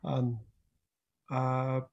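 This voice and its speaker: A man's voice: mid-range voice, completely flat delivery, extremely slow, vocal fry, dull audio, very noisy. A man from Tokelau, giving a casual interview and hesitantly thinking aloud. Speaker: interview guest, musician and music teacher.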